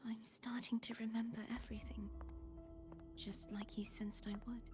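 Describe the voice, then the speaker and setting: An actress playing a gentle, sorrowful young woman in a game, speaking earnestly in soft, breathy, measured tones. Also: on the high side, little pitch movement, natural voice. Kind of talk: dramatic game voice acting. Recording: music behind the voice.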